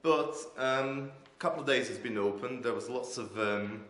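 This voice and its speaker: A man's voice: mid-range voice, moderate pace, fairly bright tone. A man in his forties with a Croatian accent, answering interview questions hesitantly as he searches for words. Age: 40s. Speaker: artist being interviewed. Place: indoors in an art gallery room. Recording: echoey room.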